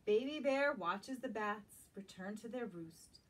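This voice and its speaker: A woman reads a picture book to young children, engaging them directly. Her voice is high and lilting, with an unhurried pace. The mic sits some way off in the room. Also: expressive. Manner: slow, questioning asides to young listeners. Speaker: library storytime reader. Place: small indoor room lined with bookshelves. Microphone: camera a few metres away. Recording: distant camera mic in a room.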